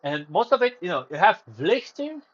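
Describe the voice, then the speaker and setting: A man's voice: sits high, sing-song, normal speed, a bit bright, dull audio. Rambling man streaming. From Romania. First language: Romanian. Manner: rambling, self-correcting, drifts off topic. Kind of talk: casual solo livestream chat.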